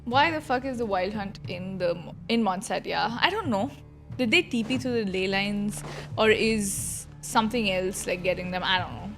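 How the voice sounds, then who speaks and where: A woman's voice: mid-range voice, animated, normal speed, fairly bright tone, faint music behind. A woman streamer giving casual, chatty livestream commentary on a game, informal in her wording.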